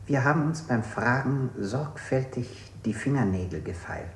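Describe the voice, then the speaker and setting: An actor playing a smug, impatient interrogator in a radio play, making clipped, formal accusations. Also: male voice, mid-range voice, lively intonation, normal speed, lightly acted. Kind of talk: avant-garde radio play acting. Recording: roomy, echoing studio sound.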